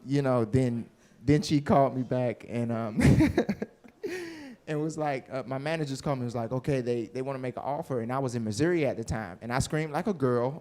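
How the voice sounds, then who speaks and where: Playful young man joking through an interview. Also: mid-range voice. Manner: playful, joking, animated storytelling. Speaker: film actor being interviewed. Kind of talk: casual interview chat.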